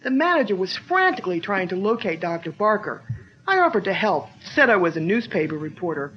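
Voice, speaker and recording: Woman, earnest young sleuth, actress, 1940s radio drama, animated pitch, lo-fi old radio sound. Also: fairly quick, soft background music, lightly acted. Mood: earnest, urgent. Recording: dull lo-fi old radio recording.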